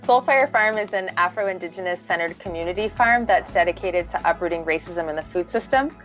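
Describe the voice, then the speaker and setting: A woman's voice: on the low side, a little fast, fairly bright tone. A woman narrating about her own work in a documentary, articulate and explanatory. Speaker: farm manager and co-director. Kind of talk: documentary self-introduction narration. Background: music.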